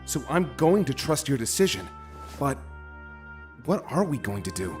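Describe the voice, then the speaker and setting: Male actor as hero's supportive comrade, sincere motion-comic drama, expressive mid-pitched voice over clear music. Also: moderate pace, lightly acted. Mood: concerned.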